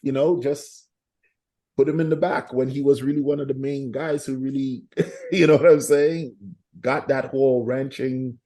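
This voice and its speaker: A man's voice: medium pitch, normal speed, mellow. A Canadian man talking as a podcast guest, rambling and often asking "you know what I'm saying". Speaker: guest artist. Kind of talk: casual podcast interview.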